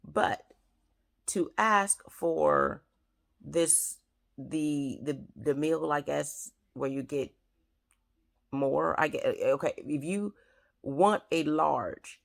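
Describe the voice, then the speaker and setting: Woman host, hoarse and gravelly, earnest advice delivery full of fillers. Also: sits low, animated, drawn-out words, pauses often. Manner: earnest, repetitive, many fillers. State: raspy, scratchy throat. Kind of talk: advice talk to camera.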